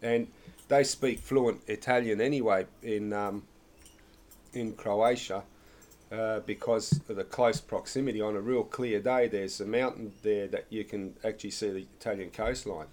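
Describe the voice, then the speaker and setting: A man's voice: fairly low pitch, steady tone, moderate pace, darkish tone. A middle-aged man chatting casually, explaining things at length with lots of restarts. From Australia. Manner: rambling, explains with many fillers and restarts. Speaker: interviewee. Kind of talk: casual conversation.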